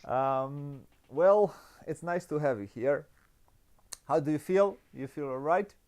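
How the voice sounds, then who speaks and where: A man's voice: a little high, slow pace, darkish tone. A man hosting an unscripted interview, speaking hesitantly with many stammered restarts. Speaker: interview host. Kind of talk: unscripted board game interview. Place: online video call interview.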